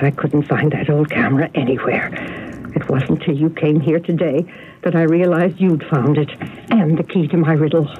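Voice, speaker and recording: An actress playing a genteel, agitated older spinster telling family secrets in a radio mystery drama. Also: female voice, low-pitched, natural voice. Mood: earnest, secretive. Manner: fretful, genteel, dramatic storytelling. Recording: narrow, noisy old radio broadcast recording.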